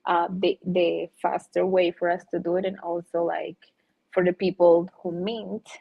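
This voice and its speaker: A woman's voice: fairly low pitch, flat delivery, unhurried, gravelly, slightly rough. A woman from Russia who has also lived in Colombia, chatting loosely and enthusiastically as an interview guest on dull, lo-fi audio. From born in Russia, lived in Colombia. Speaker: NFT artist, interview guest. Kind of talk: casual chat.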